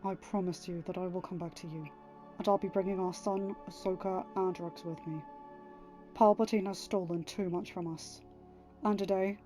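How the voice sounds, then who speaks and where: A woman voicing a tender, calm, resolute warrior husband in a story reading, earnest and reassuring. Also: on the low side, lightly acted. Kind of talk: dramatised audiobook reading.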